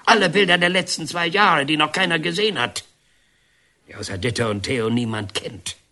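An actor playing a defiant, bitter, sarcastic painter in a German radio drama. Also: male voice, fairly high pitch, moderate pace, sharp tone. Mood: outraged. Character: defiant, bitter male painter, banned by the regime.

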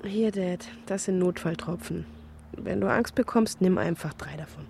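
Radio drama, sharp-tongued yet caring daughter, light background noise. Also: female voice, fairly low pitch, moderate pace, gravelly. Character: caring, sharp-tongued grown child of the hero. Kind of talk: naturalistic radio drama acting.

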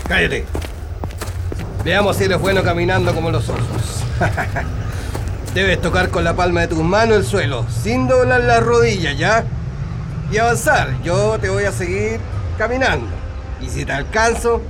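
A man's voice: high-pitched, animated, unhurried, long pauses, music in the background. A man with a Chilean accent playing a sadistic soldier in a radio drama, giving curt, taunting commands. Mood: sadistic, threatening. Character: sadistic, taunting male soldier guarding a prisoner.

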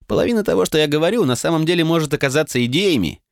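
A man's voice: fairly high pitch, expressive, racing, creaky, no room sound, studio quality, pitched above the performer's own voice. A man voicing a modest, streetwise young man in an audiobook, talking in a self-deprecating, eager way. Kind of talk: audiobook character reading.

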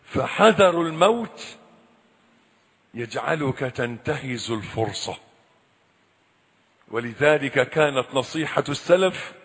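A man preaching a sermon, swinging between loud cries and hushed, low warnings. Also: breathy edge. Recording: echoey hall with long reverb.

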